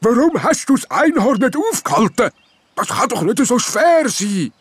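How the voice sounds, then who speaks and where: A man's voice: sing-song, unhurried, no room sound, clean studio sound, full character voice. A man voicing a grumpy bearded forest gnome who snaps and scoffs, in a children's audio story. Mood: angry. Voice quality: deep gruff voice. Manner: snapping, scornful.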